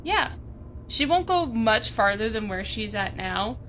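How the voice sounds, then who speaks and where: Female tabletop player, fairly high voice, slow tentative delivery, casual, noisy recording. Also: very animated, fairly bright tone. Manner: tentative, thinking aloud. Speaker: player in a tabletop roleplaying game. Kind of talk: casual game chat, describing character actions. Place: online livestream video call. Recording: clearly noisy background.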